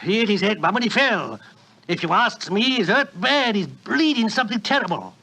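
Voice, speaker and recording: Harsh, husky Cockney street fellow. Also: male voice, sits high, very animated, normal speed, bright, forceful tone, exaggerated voice, poor audio. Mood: anxious, pleading. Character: deferential working-class London street fellow, anxious helper. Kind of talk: 1940s radio acting.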